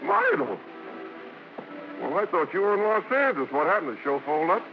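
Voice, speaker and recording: Lively, brash American vaudeville hoofer. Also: male voice, high voice, creaky, exaggerated voice. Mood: surprised, needling. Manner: blustering, halting, slangy. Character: brash, bashful vaudeville song-and-dance man, gruffly affectionate. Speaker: actor and singer. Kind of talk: 1950s radio drama acting. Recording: muffled old radio broadcast with music.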